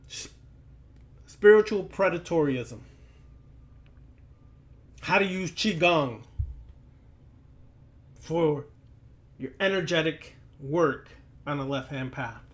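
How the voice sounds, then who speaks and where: A man with a notably high-pitched voice delivers an impromptu, sermon-like lecture. He speaks slowly and addresses the listener directly, admonishing and preachy. He leans on emphatic repetition of words and phrases. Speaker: occult lecturer and teacher.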